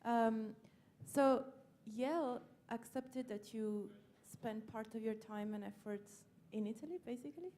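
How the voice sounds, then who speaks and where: A woman's voice: fairly high pitch, measured pace, hardly any pauses, dark tone, slight background noise. A woman researcher asking a polite, tentative follow-up question from the audience.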